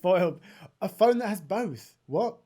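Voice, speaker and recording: A man with a slightly raised pitch chats on a casual tech podcast. As co-host he talks in a playful, teasing manner. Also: expressive, unhurried, mellow.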